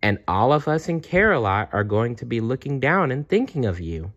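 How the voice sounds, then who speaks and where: Man voicing a soothing, gentle female storybook bear. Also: mid-range voice, big pitch swings, normal speed, muffled recording, voice pushed up. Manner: soothing, reassuring. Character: gentle, comforting female bear, caring friend to a child.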